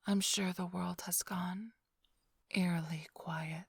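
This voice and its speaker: A woman's voice: on the low side, fairly even intonation, slow pace, dry recording, full character voice. A woman playing a flirtatious, smug female vampire thief in a whispered, teasing ASMR roleplay, drawling close to the microphone. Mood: hushed, appraising. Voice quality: breathy, whispery. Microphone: close-up studio microphone, dry.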